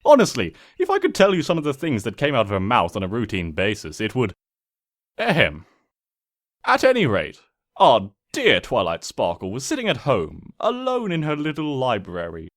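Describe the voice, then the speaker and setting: A man as smug trickster spirit, male, storybook narrator, pompous, sardonic, hugely swooping pitch. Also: wildly swooping pitch, moderate pace.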